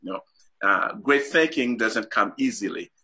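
A man's voice: lowish voice, expressive, normal speed, sharp tone, slightly rough. A middle-aged man from Haiti, a guest author on a talk show, explains his ideas earnestly with many fillers and restarts.

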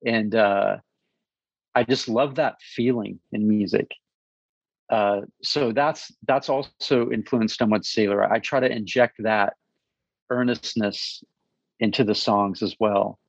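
A man in his fifties, a musician answering interview questions in an earnest, thoughtful way, often pausing and restarting. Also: on the low side, steady tone, vocal fry, no echo. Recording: slightly dull recording.

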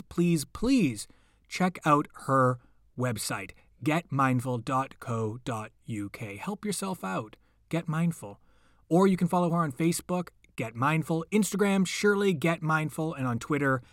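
A man's voice: a little high, no room sound, clean studio sound. A man hosting a podcast, speaking casually and playfully, with emphatic, pleading repetition. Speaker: podcast host.

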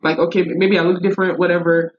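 A young woman telling stories in casual, slangy, animated talk with Nigerian turns of phrase. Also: lowish voice, very fast, dull audio, a little hiss or hum. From United States. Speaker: podcast guest. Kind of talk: casual conversational storytelling.

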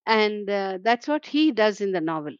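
A woman's voice: medium pitch, sing-song, moderate pace, clean tone, dry recording. Older Indian-accented woman guest, thoughtful and chatty, dry close sound, a little dull. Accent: Indian English. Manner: warm, frequent "you know" and soft laughs. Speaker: translator and scholar guest. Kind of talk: reflective conversational interview.

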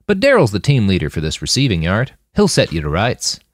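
Audiobook role, male narrator: polite devout young guardsman, drawling, animated, dry sound. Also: on the low side, fairly quick, dry recording. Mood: polite, pious.

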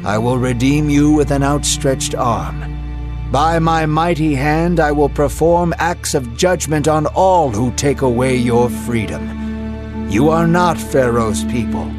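A man voicing God in a dramatized Bible story, speaking in a solemn, steady, commanding manner, both compassionate and mighty. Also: a little high, slow pace. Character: calm, compassionate, mighty male divine voice. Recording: music clearly underneath.

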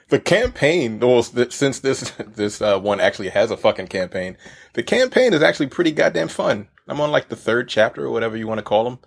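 A man's voice: medium pitch, sing-song, fairly quick, vocal fry. A man co-hosting a casual podcast, laid-back, swearing freely and laughing at himself. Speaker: podcast co-host.

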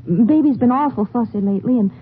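A woman's voice: fairly high pitch, close to an everyday voice. A woman playing a nervous young wife. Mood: worried. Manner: halting, stammering speech. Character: anxious young wife, worried about money. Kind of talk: 1950s radio drama acting. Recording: muffled old radio broadcast with hiss.

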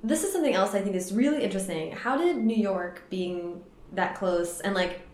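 A woman's voice: mid-range voice, expressive, moderate pace, a little mellow. A woman hosting a podcast, reading a scripted intro and then chatting casually and laughing often with a guest in an apartment. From Northern California. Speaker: podcast host.

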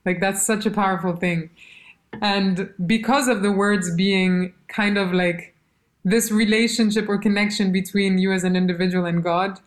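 A woman musician being interviewed, telling stories in a lively, casual way and quoting others as she goes. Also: mid-range voice, moderate pace. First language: German. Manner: animated storytelling, quotes others, laughs. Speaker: musician guest.

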